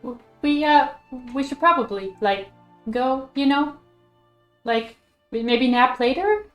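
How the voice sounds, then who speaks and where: A woman playing a tabletop game, speaking hesitantly with halting starts. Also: high voice, animated. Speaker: player. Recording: roomy echo, faint music.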